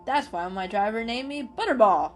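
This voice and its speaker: Woman voicing a friendly golden tomcat, high and lively. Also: normal speed, slight background noise, lightly acted. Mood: fond, assured. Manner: kindly, chatty, explanatory. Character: friendly, reassuring adult male golden cat.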